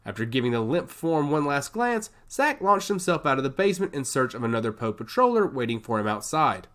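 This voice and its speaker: A man narrates an action story with theatrical emphasis. His mid-range voice swings widely in pitch, giving the scripted prose a highly animated, dramatic shape. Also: fairly quick.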